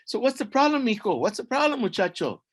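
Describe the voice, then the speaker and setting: A man's voice: very high-pitched, big pitch swings, fast, husky, pitched above the performer's own voice, full character voice. A man voicing eager, helpful little brother ants in a folktale, chatting colloquially and mixing Spanish phrases into English. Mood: eager, encouraging. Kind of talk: animated oral folktale storytelling.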